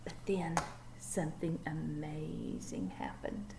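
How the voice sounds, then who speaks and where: A woman teacher reading a picture book aloud to children, gently asking them questions and dropping to whispers. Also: low-pitched, huge pitch swings, slow, creaky, background noise. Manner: gentle, asks young listeners questions, drops to whispers. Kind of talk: storybook read-aloud to children.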